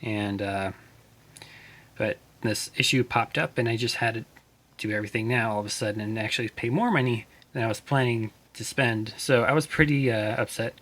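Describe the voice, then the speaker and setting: A man talking casually through a car repair log in a monotone, hesitant way. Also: medium pitch, moderate pace. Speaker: car owner narrating his own repair log. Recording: noticeable background noise.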